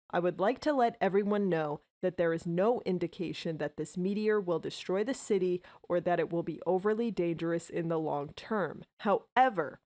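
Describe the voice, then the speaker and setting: A woman's voice: lowish voice, a little mellow, natural voice. A woman playing a grave, formal city mayor giving an emergency address in an audio drama. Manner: formal, solemn.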